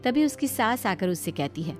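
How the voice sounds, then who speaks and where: A woman's voice: medium pitch, sing-song, normal speed, faint music behind. A woman narrating a scripted story as a storyteller.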